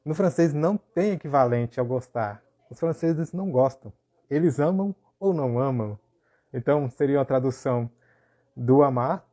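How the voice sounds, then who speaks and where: A man teaching a live language lesson, explaining calmly and greeting viewers by name. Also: mid-range voice. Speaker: Portuguese teacher.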